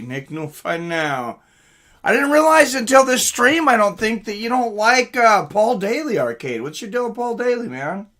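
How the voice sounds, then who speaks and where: A man's voice: fairly high pitch, fairly bright tone. A man hosting a casual live reaction stream, chatting informally with viewers and commenting on fights. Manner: chatty, informal, greets viewers and reads chat aloud.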